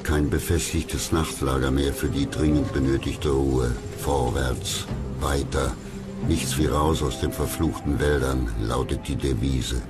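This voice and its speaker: A man narrates a documentary with an extremely deep voice. His scripted delivery is measured and dramatic, and loud music plays beneath him. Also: fairly even intonation.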